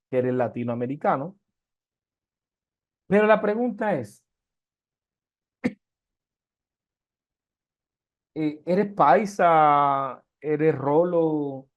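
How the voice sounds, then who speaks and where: A man's voice: a little high, darkish tone. A man gives an online lecture on research methods. He works through everyday comparisons and asks his students rhetorical questions as he goes. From Colombia.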